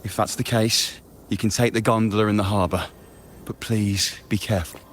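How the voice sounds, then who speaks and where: An actor playing a helpful, cautious harbour master in a video game, speaking in a courteous, formal way. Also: male voice, lowish voice, fairly even intonation, normal speed, a little hiss or hum, lightly acted. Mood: welcoming, concerned.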